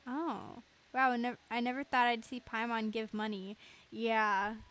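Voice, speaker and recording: A woman's voice: on the high side, flat delivery, a little slow, fairly bright tone, slight background noise. A woman giving casual, giggly, reactive commentary as she plays a game. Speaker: game streamer.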